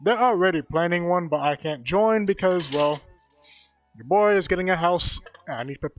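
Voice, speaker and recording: A young man in casual gaming voice chat, explaining things in measured phrases. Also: high voice, soft background music. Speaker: gamer chatting with streamer.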